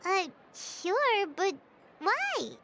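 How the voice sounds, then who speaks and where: A woman's voice: very high-pitched, very animated, drawn-out words, a bit bright, slight background noise, heavily acted. A woman voicing a tiny, curious female companion sprite who chirps about herself by name in a game cutscene. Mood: puzzled. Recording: game score under dialogue. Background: background music.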